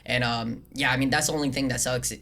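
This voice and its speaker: A man's voice: medium pitch, steady tone, fast, fairly bright tone. A man from Seattle hosting a casual podcast chat, easygoing and rambling, with lots of fillers and restarts. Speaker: podcast host.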